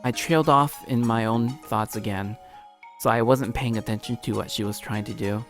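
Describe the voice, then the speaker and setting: A man's voice: normal speed, fairly bright tone. A man livestreaming a let's play, reading story narration aloud with casual asides, often stumbling and rereading phrases. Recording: low-quality stream audio, music behind. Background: music.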